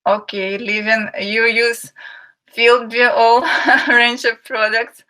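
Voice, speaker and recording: A woman from Ukraine who speaks English as a hosts an online webinar through a computer mic. Her voice is bright, and she talks slowly, pausing often on "uh" as she greets viewers. She reads their chat messages aloud in a friendly way. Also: a little high, level tone. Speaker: webinar host, marketing and sales manager. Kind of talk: live webinar hosting, chatting with viewers.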